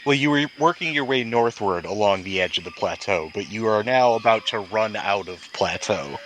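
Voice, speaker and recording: A man's voice: lowish voice, animated, normal speed, a bit bright, a little hiss or hum, low-quality recording. A man hosting and narrating a tabletop roleplaying game as game master.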